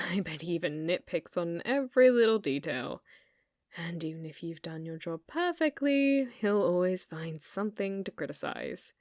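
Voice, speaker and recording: A British woman acting in an ASMR roleplay as a sweet, shy, secretly obsessive shop clerk, soft-spoken, hesitant and apologetic. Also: fairly low pitch, expressive, moderate pace, dry recording, clean studio sound. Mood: curious, concerned.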